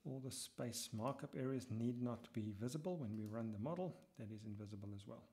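A man talking through a software tutorial step by step as he works, muttering some lines to himself, with keyboard typing behind him. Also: mid-range voice, brisk. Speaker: lecturer.

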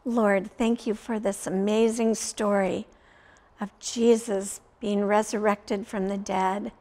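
An older woman in her sixties reads a Bible story for children. Her pace is slow and deliberate, broken into short phrases with frequent pauses, at an average pitch for a woman. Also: little pitch movement, a little mellow. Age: 60s. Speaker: children's story time host and reader. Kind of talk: reading a children's Bible story aloud, leading prayer. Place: small furnished indoor room, seated.

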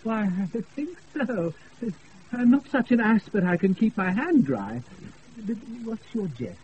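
An actor playing a foolish, eager-to-please, flustered knight in a comic classical radio play. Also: male voice, high-pitched, big pitch swings, measured pace, a little mellow, highs cut off. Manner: flustered, hesitant. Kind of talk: comic Shakespearean radio acting. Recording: hissy off-air radio recording.

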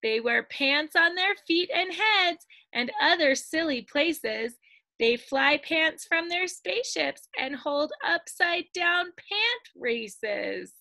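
Woman, middle-aged, high bright voice, children's read-aloud, sing-song, unhurried delivery, drawn-out line endings. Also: lively intonation, creaky, no echo. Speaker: teacher reading to young children. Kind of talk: animated picture-book read-aloud for children. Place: indoors at a computer, screen-share video.

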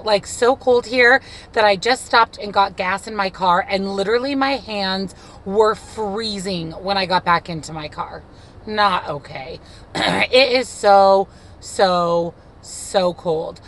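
A woman's voice: medium pitch, fairly bright tone, slight background noise. A woman in her thirties with an American accent, chatting casually and gushingly to her viewers from inside a car. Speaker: vlogger. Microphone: phone or camera at arm's length.

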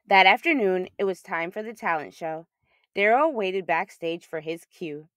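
A woman reading a children's picture book aloud as a storyteller, with expressive, emphatic delivery. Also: fairly low pitch, moderate pace, no echo, studio quality.

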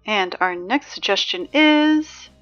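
A woman playing a sarcastic, exasperated high school announcer in a scripted audio drama, dry and emphatic in delivery. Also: lively intonation, takes it slowly, fairly bright tone, dull audio, soft background music. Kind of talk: scripted fiction podcast, PA announcements.

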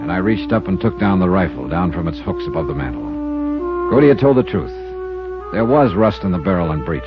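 An American actor playing a shrewd, hard-boiled insurance investigator in a 1950s radio drama, with a clipped, wry, deliberate delivery. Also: male voice, low voice. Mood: measured narration. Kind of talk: 1950s radio detective drama acting and narration. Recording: muffled 1950s radio recording, music behind.

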